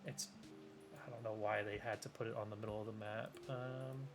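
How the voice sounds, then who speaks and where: A man's voice: on the low side, fairly even intonation, fairly quick, over music. A young man running a tabletop game as game master, rambling with plenty of fillers and restarts. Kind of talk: casual tabletop game narration.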